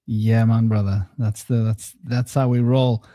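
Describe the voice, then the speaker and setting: A man hosting a casual spiritual round-table chat, rambling and relaxed, with a somewhat droning voice and many fillers. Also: medium pitch, measured pace, no echo, studio quality. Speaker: host. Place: home studio.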